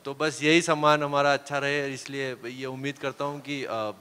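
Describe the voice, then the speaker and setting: A man answering press questions as team captain, earnest and halting with frequent fillers. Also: mid-range voice, little pitch movement, sharp tone, slight background noise. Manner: earnest, hesitant with many fillers. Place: press hall. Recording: long reverb of a hall.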